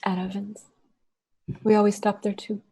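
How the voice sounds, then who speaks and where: A woman's voice: medium pitch, flat delivery, normal speed, gravelly, warm tone, slight background noise, lightly acted. An actress playing a reflective, plain-spoken woman chatting among friends in a naturalistic way.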